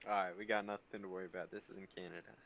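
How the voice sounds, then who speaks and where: A man's voice: low-pitched, little pitch movement, very fast, creaky, a bit bright, slight background noise. A man chatting casually and slangily as a guest on a reaction stream.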